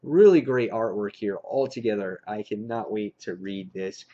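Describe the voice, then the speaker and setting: A man's voice: on the low side, moderate pace, mellow. A man chatting casually and enthusiastically as the host of a comic book review vlog, in a lo-fi recording. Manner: enthusiastic fan talk. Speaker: comic book reviewer hosting a haul video. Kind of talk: casual vlog chat, showing and commenting on purchases. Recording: dull lo-fi sound.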